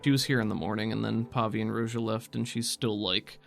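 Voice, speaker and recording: A game master narrating scenes and explaining rules in a measured, casual way. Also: medium pitch, steady tone, creaky, soft background music.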